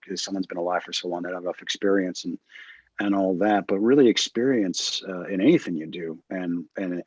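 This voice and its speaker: A man's voice: deep voice, husky, no room sound, muffled recording. A man from the United States talking as a podcast guest, reflective and repeating words as he thinks. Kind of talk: casual conversation.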